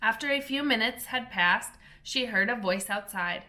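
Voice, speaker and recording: A woman, a teacher, reading a children's storybook aloud in a gentle, clear storytelling manner. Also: on the high side, moderate pace.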